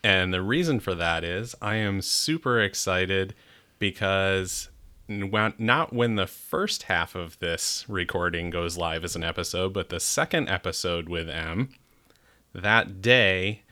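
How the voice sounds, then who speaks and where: A man's voice: deep voice, measured pace, bright, forceful tone. A middle-aged man hosting a podcast in a chatty, upbeat way, with the odd restart. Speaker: podcast host. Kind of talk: casual chat.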